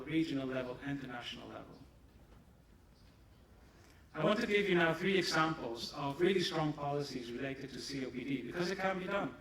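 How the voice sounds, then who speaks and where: A man whose first language is German gives a conference talk in English, his pitch a bit above the usual for a man. He speaks in an emphatic, explanatory way. The sound comes from far off in a very echoey hall, with a crowd audible behind him. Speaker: pharma company presenter. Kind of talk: conference presentation. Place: large hall. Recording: echoey hall, distant mic, audience.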